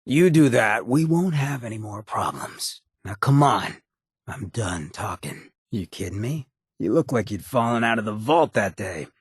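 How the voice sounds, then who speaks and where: Very raspy, roguish ghoul. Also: male voice, lowish voice, big pitch swings, a little slow, no room sound, studio quality, full character voice. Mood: stern, threatening. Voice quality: husky, gravelly rasp. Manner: streetwise slangy drawl with swearing. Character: raspy, roguish ghoul mayor, streetwise, blunt, loyal. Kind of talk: video game companion dialogue.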